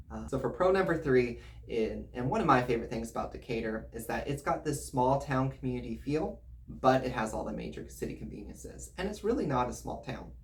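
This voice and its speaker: Real estate video host from the US, mid-pitched voice, informal and explanatory delivery to camera. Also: slight background noise. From United States, grew up in Virginia and Missouri.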